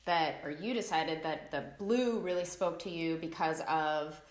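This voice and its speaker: Middle-aged woman, lowish voice, chatty and playful, echoey room. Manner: chatty, playful, quick asides. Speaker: brand founder and host. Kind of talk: casual interview chat.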